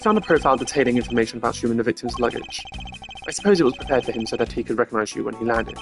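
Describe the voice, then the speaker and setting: A man's voice: medium pitch, rapid-fire, music in the background, close to an everyday voice. A man voicing a formal, pompous, sardonic prosecutor in precise, dry video game dialogue. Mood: probing.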